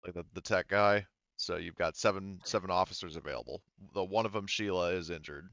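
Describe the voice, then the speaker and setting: A man game mastering a tabletop roleplaying session, thinking aloud in an informal, halting way. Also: low-pitched, steady tone, a bit bright, no echo, dull audio.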